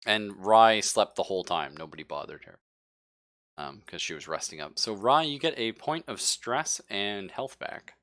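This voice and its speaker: Low-voiced man running a tabletop game. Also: expressive, moderate pace, fairly bright tone, dry recording. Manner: informal, jokey, profane. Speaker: game master. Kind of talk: casual narrating of a tabletop sci-fi horror game.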